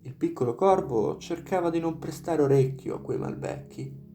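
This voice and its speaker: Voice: medium pitch, normal speed, dark tone. A storyteller reading a scripted fairy tale narration over instrumental music.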